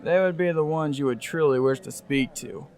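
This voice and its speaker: A man's voice: on the high side, moderate pace, a little mellow, natural voice. A man playing a dismissive, brusque pony who works at an opera house, in an audio drama.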